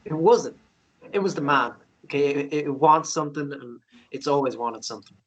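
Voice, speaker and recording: A man playing the shy, earnest, protective ghost of a miner, speaking haltingly in a fantasy romance table read. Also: fairly high pitch, breathy edge, low-quality recording. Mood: grave, warning.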